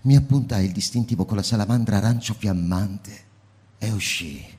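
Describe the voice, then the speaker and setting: An actor playing a troubled fireman who narrates and speaks in a measured, grave way in a radio drama. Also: on the low side, a little mellow, husky. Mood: somber. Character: troubled fireman narrator, adult man, tense and searching.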